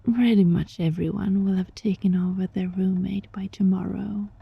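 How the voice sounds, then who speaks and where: A woman voicing a sly, teasing, controlling yet gentle fox-spirit roommate in an ASMR roleplay, with a breathy voice that often drops into a whisper. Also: medium pitch, steady tone, no room sound, dull audio. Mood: firm, resolute. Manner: slow, teasing, softly menacing. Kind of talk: ASMR roleplay, scripted monologue addressed to listener.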